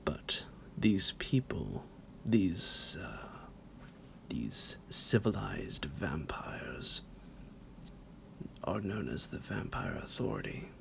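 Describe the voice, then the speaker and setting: Psycho vampire doctor for asmr rp, super gravelly, slow n whispery, smug and creepy, swoops around a lot. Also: male voice, big pitch swings, fairly bright tone, heavily acted. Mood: smug, sinister. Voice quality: breathy, gravelly, creaky half-whisper. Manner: slow, drawn-out, theatrical, halting, with low chuckles. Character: psychotic male vampire doctor, menacing, smug, teasing captor. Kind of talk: ASMR roleplay monologue.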